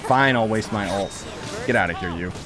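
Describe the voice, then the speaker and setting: A man's voice: on the low side, normal speed. A man giving casual gameplay commentary in a sarcastic, grumbling way, swearing mildly as he plays. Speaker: gaming streamer playing and commenting. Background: game music.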